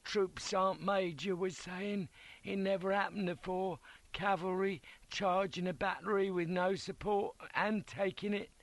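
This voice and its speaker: Croaky, frail young English soldier. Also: male voice, sits high, steady tone, a little slow, no echo, pitched above the performer's own voice. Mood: weak, awed. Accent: working-class English. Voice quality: croaky, whispery. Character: pale, weak, awed young wounded soldier.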